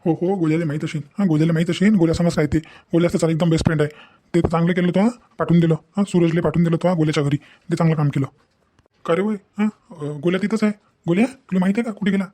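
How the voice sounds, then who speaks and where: A man's voice: on the high side, fairly even intonation, rapid-fire, mellow, natural voice. A man playing a concerned, blustery village shopkeeper in a cartoon family drama, repeating himself.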